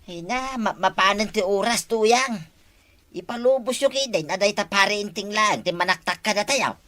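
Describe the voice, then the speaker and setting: Woman acting as scheming ilocano villager gambler in a comedy skit, bright pushy voice. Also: medium pitch, moderate pace, natural voice.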